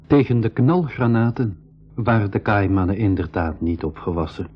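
A man's voice: on the low side, normal speed, husky, faint music behind. An actor playing a young brother who tells his adventure in a radio play. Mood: measured storytelling. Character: young brother adventurer, excitable, telling his own story. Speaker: actor and narrator in a school radio drama. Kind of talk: Dutch-language 1980s radio play acting and storytelling. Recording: dull old radio recording.

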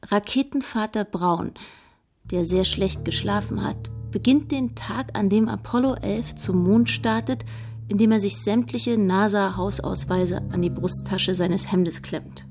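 A woman's voice: medium pitch, a little slow, a little mellow, faint music behind. A woman reading scripted, documentary-like narration in a radio play, matter-of-fact with a wry edge.

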